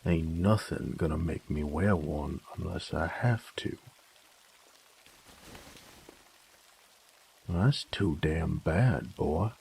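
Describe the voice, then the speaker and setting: A man playing a very deep, gravelly sadistic bandit. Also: darkish tone, full character voice. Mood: gruff, grudging. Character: cocky, possessive, sadistic male bandit, rough-spoken and seductive. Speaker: voice actor. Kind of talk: intimate audio roleplay.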